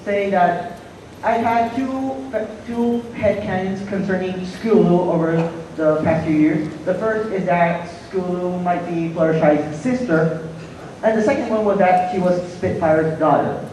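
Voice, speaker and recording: Nervous fan at a hall microphone. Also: male voice, high-pitched, a little slow, a little mellow, a little hiss or hum. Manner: nervous, stumbling over names. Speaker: audience questioner. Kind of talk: fan asking a question. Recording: echoey hall from far off.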